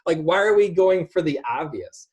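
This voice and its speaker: Animated, slightly husky man on a video call. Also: fairly high pitch, moderate pace. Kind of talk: casual film discussion on a video call. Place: indoor room at home. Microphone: video call, sitting back from a computer.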